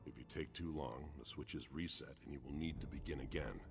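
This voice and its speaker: An actor voicing a stern, blunt orc trial master who gives commanding, menacing orders in a fantasy game. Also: male voice, rumbling low, a little fast, music in the background. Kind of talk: scripted fantasy game acting.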